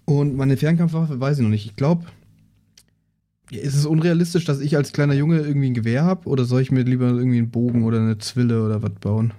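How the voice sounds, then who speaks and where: A man's voice: medium pitch, fast talker, dark tone, a little hiss or hum. A man chatting casually as a player in a tabletop role-playing podcast. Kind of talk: casual chat.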